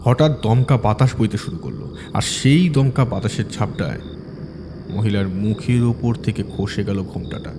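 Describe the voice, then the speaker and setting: Low-voiced male horror storyteller. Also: noisy background. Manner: measured, dramatic storytelling. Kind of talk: scripted horror audio story narration.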